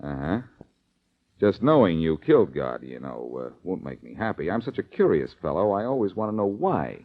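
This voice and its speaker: An American actor playing a cocky, wisecracking amateur detective in 1940s radio style, flippant and quick-witted. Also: male voice, low-pitched, sing-song, heavily acted. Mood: cool, probing. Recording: dull, lo-fi old radio recording.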